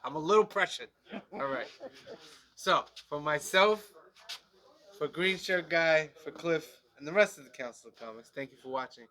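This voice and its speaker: A man co-hosting a casual review chat, with a stuffy, nasal voice from allergies and a relaxed, chatty manner full of "um"s. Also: on the high side, normal speed. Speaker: podcast co-host.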